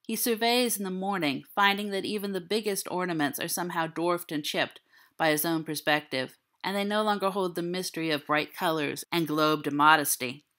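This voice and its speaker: A woman's voice: fairly low pitch, brisk, fairly bright tone. A woman reading literary fiction aloud as a scripted narration. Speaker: audiobook reader.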